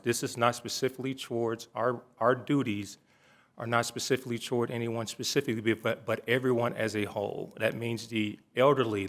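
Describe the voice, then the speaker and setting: A middle-aged man speaks at a city council meeting in a fairly low voice. He talks earnestly, stopping and restarting his sentences as he goes. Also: fairly bright tone. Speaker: city commission member. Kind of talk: public meeting remarks.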